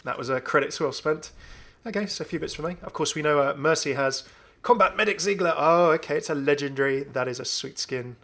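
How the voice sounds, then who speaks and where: A man's voice: a little high, brisk, few pauses, fairly bright tone. A young man giving casual, enthusiastic commentary on a video game. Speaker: gaming video host.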